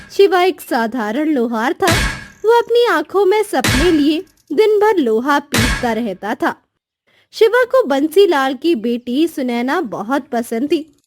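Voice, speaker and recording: High-pitched woman narrating a village tale. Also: noisy background. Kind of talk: scripted story narration.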